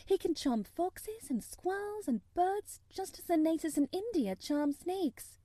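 A woman's voice: very high-pitched, very animated, measured pace, dark tone, no echo, pitched above the performer's own voice, full character voice. A woman voicing a frank, self-willed young girl who speaks earnestly and candidly in a storybook reading. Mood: wondering, eager.

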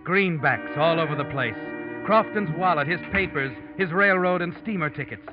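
A man's voice: on the high side, close to an everyday voice. Ominous old-time radio narrator played by a man, music swelling. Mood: ominous, taut. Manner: dramatic, deliberate, menacing. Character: ominous, knowing male narrator taunting a guilty man. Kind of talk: 1940s radio suspense narration in second person. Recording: dull old radio recording, loud music.

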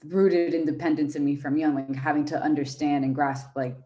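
Low-voiced young woman chatting casually. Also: a little fast, highs cut off. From Venezuela. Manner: relaxed, many "like" fillers. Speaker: podcast guest, fashion brand founder. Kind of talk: casual interview chat.

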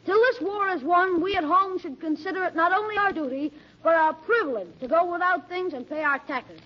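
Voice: very high-pitched, fairly quick. A performer playing a cheeky, slangy schoolboy nephew with a boyish, wheedling way in a 1940s radio comedy. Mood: proud reciting. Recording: dull vintage radio recording.

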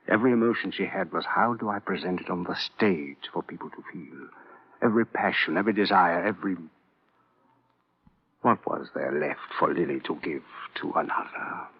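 Casting: guarded, grieving psychiatrist in vintage radio play; deep register, stately formal delivery, thin old-radio audio. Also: male voice, slightly rough, exaggerated voice. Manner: formal, deliberate, stilted phrasing. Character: proud, secretive, grieving male psychiatrist, formal and weary. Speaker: actor in a radio drama. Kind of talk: classic radio drama acting. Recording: tinny, narrow old radio recording.

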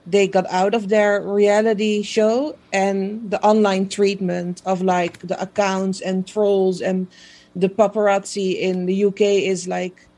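A woman's voice: medium pitch, dark tone. A woman from the Netherlands with a Dutch accent, a Dutch native speaker, chatting casually as an interview guest with many fillers and easy laughs. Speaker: guest, social media page creator.

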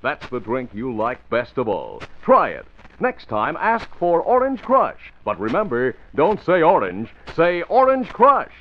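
A male announcer delivers scripted copy with punchy emphasis and a fairly high, very animated voice. It is polished old-time radio style, on a dull vintage recording. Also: measured pace, a little hiss or hum. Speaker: announcer and narrator. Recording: muffled vintage radio broadcast.

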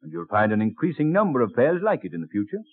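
A dignified, genial colonial gentleman in a vintage radio play. His delivery swings freely in pitch, courteous and earnest, through a thin, narrow old broadcast sound. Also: sing-song, fast talker, husky. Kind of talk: old-time radio drama acting.

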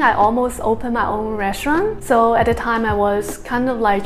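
Chatty woman travel vlogger. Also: fairly high pitch. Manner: chatty, plain-spoken, promotes her own videos. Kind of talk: casual Q&A vlog, reading out viewer questions.

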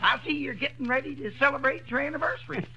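A chirpy high-voiced mailman. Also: fast, very noisy. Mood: chipper. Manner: chirpy, jokey. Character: cheery wisecracking male mailman. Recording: dull old radio broadcast recording.

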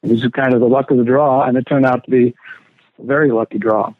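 A man being interviewed as a scholar, recalling his studies in a thoughtful, unhurried, reminiscing way. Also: medium pitch, fairly even intonation. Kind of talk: reflective interview answers. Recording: dry, tinny phone-like line.

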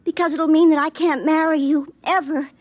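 Actress as a high-pitched, fretful young sweetheart. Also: female voice, fairly even intonation, normal speed, no echo, close to an everyday voice. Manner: prim, dramatic, easily wounded. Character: indignant, fretful small-town young sweetheart. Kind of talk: classic radio drama acting.

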